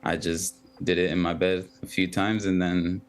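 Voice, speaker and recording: A young man, a professional footballer and a Twi speaker, giving halting, soft-spoken interview answers full of ums. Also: low-pitched, flat delivery. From United States.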